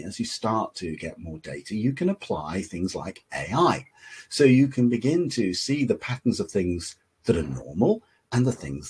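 Lively-voiced male webinar host. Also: lowish voice, breathy edge. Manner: measured, explanatory, lively intonation. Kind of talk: webinar moderation. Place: home office, video call.

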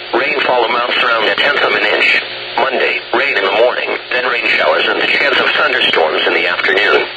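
A man's synthetic text-to-speech voice, formal and mechanical with clipped phrasing, reading a scripted weather bulletin as a radio announcer. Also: medium pitch. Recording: very noisy weather radio stream.

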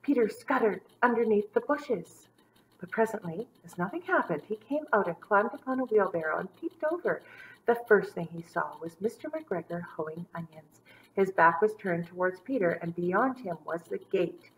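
A woman in her thirties reading a children's story aloud with dramatic emphasis and drawn-out words. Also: medium pitch, lively intonation, a little hiss or hum, rough recording. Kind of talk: expressive storybook read-aloud for young children. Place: small indoor room set up as a classroom.

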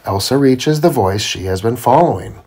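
A man reading a children's storybook aloud to his child in simple, deliberate phrasing. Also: medium pitch, expressive, background noise. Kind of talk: children's read-aloud narration.

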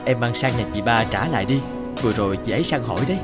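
Cartoon husband, played by a man, humble, conciliatory, earnest, mid-pitched male voice, music underneath. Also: expressive, very fast, natural voice. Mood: quietly insistent. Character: worried, humble poor husband, gentle and conciliatory. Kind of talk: animated moral-tale dubbing. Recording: music behind the voice.

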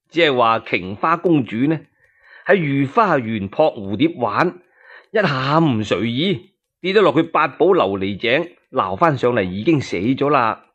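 Theatrical Cantonese male storyteller, higher-pitched voice. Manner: colloquial, theatrical, with rhetorical asides to listeners. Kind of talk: dramatic one-man historical storytelling.

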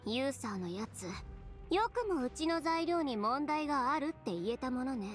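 A woman playing a proud, composed young fashion designer in an anime game, speaking in a cool, measured, matter-of-fact way. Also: sits high, big pitch swings, music in the background. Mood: scornful.

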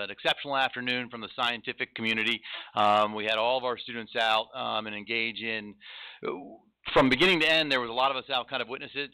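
Fast, upbeat school superintendent. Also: male voice, mid-range voice, bright, forceful tone, rough recording. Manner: upbeat, filler-heavy. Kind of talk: meeting report. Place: meeting room.

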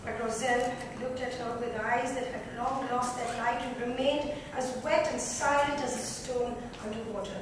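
A woman's voice: on the high side, steady tone, moderate pace, hardly any pauses. A woman giving a dramatic reading of literary fiction. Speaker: book reader. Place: indoor club lounge. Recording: echoey room, distant mic, background noise.

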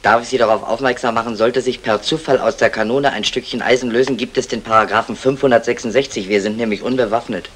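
An actor playing a gallant, cheeky burglar with a mock-courtly, talkative manner in a 1960s German crime radio play. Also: male voice, medium pitch, fairly even intonation, fast, few pauses, a bit bright, natural voice. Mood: suave persuasion. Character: smooth-talking, gallant male burglar, cheeky and unflappable. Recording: noisy vintage 1960 radio recording.

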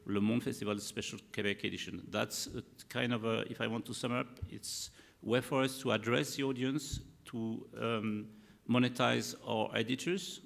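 A man in his fifties with a French accent, a native French speaker presenting on a conference panel in halting English, searching for words. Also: medium pitch, steady tone, gravelly, a bit bright. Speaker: media executive, panel speaker. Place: conference hall, indoors. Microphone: table gooseneck microphone. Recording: echoey hall, panel microphone.

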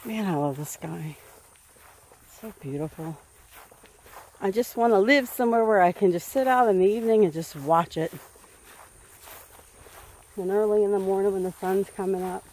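A woman's voice: medium pitch, moderate pace, pauses often, a little mellow, rough recording. A woman vlogging outdoors in rural fields at dusk, filming on a handheld phone as she talks casually with her viewers about the sky. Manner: chatty, coaxing commands to her dog. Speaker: dog owner vlogger. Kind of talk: casual vlog narration on a walk. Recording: faint outdoor background noise.

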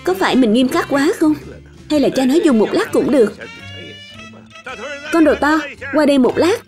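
A woman's voice: sits high, expressive, normal speed, a little mellow. A woman voicing a strict but caring father in a dubbed children's cartoon. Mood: caring, explaining. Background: background music.

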